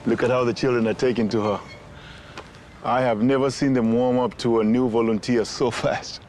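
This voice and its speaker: An actor playing a calm, wise man who gently urges kindness toward others. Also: mid-range voice, lightly acted. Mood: gentle. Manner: gentle, measured. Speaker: film character.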